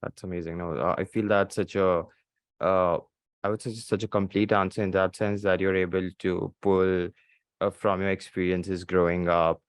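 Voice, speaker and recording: Podcast host, man from India, low voice, thoughtful questions, dry studio sound. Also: little pitch movement, moderate pace, smooth, no echo. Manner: thoughtful, asks long questions. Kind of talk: conversational interview.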